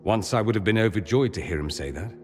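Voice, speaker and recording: An actor playing a proud, sardonic, wounded young mage of noble birth in a video game, articulate and theatrical. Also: male voice, on the low side, animated, fast talker, in a large hall, faint music behind, natural voice. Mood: hurt, subdued. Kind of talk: dramatic video game acting.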